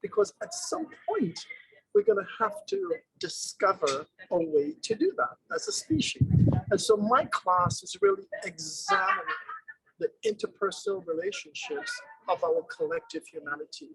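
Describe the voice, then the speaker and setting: A man presents his summer class over a video call from outside. His voice sits high for a man, a little husky and gravelly. He talks earnestly and pauses to weigh his rhetorical questions. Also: huge pitch swings, fairly bright tone, no room sound, rough recording. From born in Guyana, raised in England. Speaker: summer program teacher. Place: outdoors.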